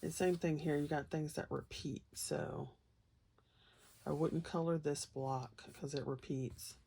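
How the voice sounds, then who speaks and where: A woman's voice: sits low, steady tone, measured pace, creaky, dark tone, breathy edge. A woman chatting casually and unscripted to viewers as she hosts a flip-through, in a rambling, folksy, playful way. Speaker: host of a colouring book flip-through. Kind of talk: casual unscripted chat to viewers over book pages.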